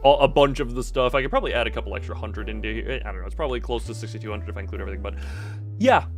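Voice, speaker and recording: A man's voice: fairly low pitch, very fast, faint music behind. A man hosting a casual livestream, chatting with viewers in a jokey, playfully mock-dramatic way. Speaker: livestream host.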